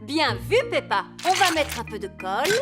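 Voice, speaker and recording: A woman's voice: high voice, very animated, normal speed, music in the background. A woman voicing a busy, cheerful rabbit shopkeeper in a cartoon, with a sing-song, exclamatory manner. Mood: encouraging.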